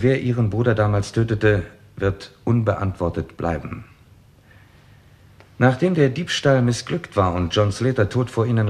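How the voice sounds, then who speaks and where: Give the impression of a composed male detective played by a man in a sixties radio crime drama. His voice is fairly low, his formal and exact. He lays out a case methodically, pressing with rhetorical questions. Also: a little mellow, close to an everyday voice. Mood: probing. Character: confident male police detective laying out a case, probing and composed. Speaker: actor in a crime radio play. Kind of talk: 1960s German radio drama acting.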